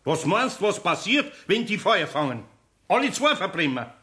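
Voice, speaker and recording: A man playing a gruff older master carpenter with a Bavarian accent, scolding and blustering in a German children's radio play. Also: high voice, big pitch swings, normal speed, bright, forceful tone, echoey room, exaggerated voice. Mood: furious.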